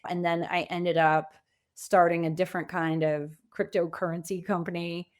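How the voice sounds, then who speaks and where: This is a middle-aged woman with a voice that sits a little below average in pitch. She speaks conversationally as an interview guest and coach. Her delivery is emphatic, and she illustrates her points with vivid examples. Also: level tone, normal speed. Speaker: podcast guest, pivot coach.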